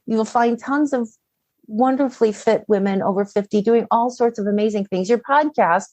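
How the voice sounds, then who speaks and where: A woman's voice: a little high, normal speed, a little mellow, dry recording. A middle-aged woman giving conversational coaching advice as an interview guest, often posing questions and acting out inner dialogue.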